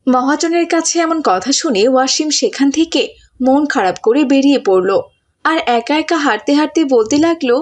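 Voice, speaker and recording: A woman's voice: sits high, lively intonation, moderate pace. A woman reading scripted narration for a cartoon story.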